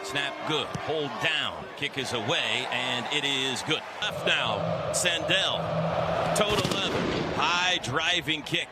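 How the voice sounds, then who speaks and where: A man's voice: fairly high pitch, takes it slowly. An American man calling live football play-by-play on the radio in clipped, staccato phrases, as the announcer. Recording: radio broadcast over loud stadium noise. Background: loud stadium crowd noise.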